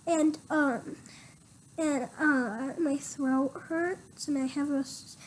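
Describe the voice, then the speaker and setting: A girl with a cough and a stuffy nose, explaining her home remedies in a halting way, full of ums and restarts. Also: high-pitched, steady tone, slight background noise. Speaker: young guest.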